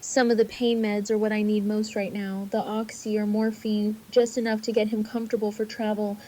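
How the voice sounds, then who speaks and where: A woman performs a young camp medic in an audio drama. She sounds earnest and sincere, with a soft-toned, mellow voice that stays quite flat in pitch. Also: a little fast, lightly acted, poor audio. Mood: earnest, concerned. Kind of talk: fan audio drama acting.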